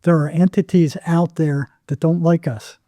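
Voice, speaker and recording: A man's voice: a little high, steady tone, normal speed, warm tone, dry recording, clean studio sound. A man speaking as an interview guest, telling anecdotes and explaining with emphatic, repeated phrasing. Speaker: psychotherapist, podcast guest.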